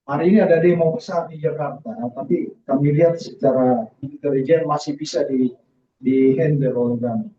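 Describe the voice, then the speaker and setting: A man gives an emphatic church testimony in short, halting phrases, speaking as a guest before a congregation. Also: fairly high pitch. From southern Philippines, mixed Indonesian family. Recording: distant, roomy, dull lo-fi sound.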